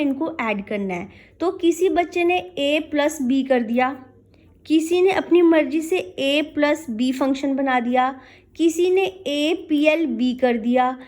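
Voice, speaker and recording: A woman giving an explanatory lecture as a programming teacher, asking and answering her own questions with frequent check-in tags and mixing in English technical terms. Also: high-pitched.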